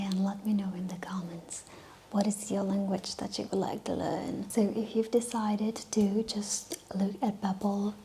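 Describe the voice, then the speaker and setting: A Czech-accented woman whose first language is Czech, giving a soft-spoken ASMR lesson in a whispery voice with hesitant ums and likes. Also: mid-range voice, slight background noise, rough recording. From Czech Republic. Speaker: ASMR host.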